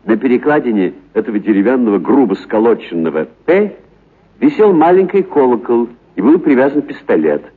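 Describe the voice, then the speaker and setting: A man narrating a literary story for radio in an expressive, dramatic actor's delivery. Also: medium pitch, brisk, husky. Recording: muffled narrow-band radio recording.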